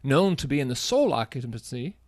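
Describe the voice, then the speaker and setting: An actor playing an astonished gentleman, a detective's companion, in a radio drama with a theatrical, emphatic delivery. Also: medium pitch, very animated, fairly quick, no echo, studio quality, close to an everyday voice. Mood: dramatic reading. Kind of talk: live radio drama acting.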